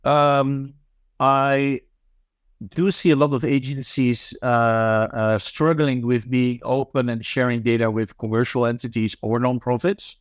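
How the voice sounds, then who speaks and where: Man, resonant clear voice, halting interview answers, fillers and restarts. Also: medium pitch, level tone, dry recording, clean studio sound. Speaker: guest, managing director of an anti-scam nonprofit. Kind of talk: interview answers, thoughtful and hesitant.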